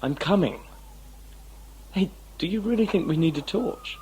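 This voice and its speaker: A man's voice: high-pitched, lively intonation, a little slow, darkish tone, a little hiss or hum. A man playing an uneasy, wry travelling companion in an audio drama, casual in manner. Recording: music under the voice.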